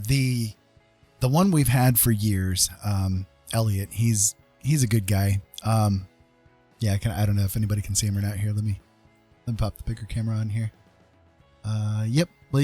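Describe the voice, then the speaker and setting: Casting: American male streamer, husky, slightly deep, chatting casually with stop-start repetitions over quiet music. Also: fairly even intonation. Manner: halting, often repeats words. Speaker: livestream host. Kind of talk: casual livestream chat.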